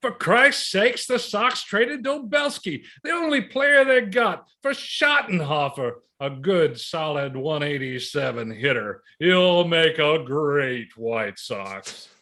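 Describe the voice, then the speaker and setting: A performer acts out an irascible middle-aged father in a staged comedy reading. The voice is forceful and creaky, full of blustering, exasperated mock cursing from a man who is always grumbling. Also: mid-range voice, animated, takes it slowly, hardly any pauses, heavily acted. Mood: indignant, sarcastic. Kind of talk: readers theatre comedy reading.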